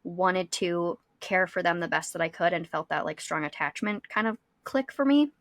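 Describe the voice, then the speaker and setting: A woman's voice: lowish voice, animated, normal speed, fairly bright tone, dry recording. Casual woman podcaster, dry close sound. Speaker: podcast co-host; school psychologist. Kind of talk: casual conversational podcast talk.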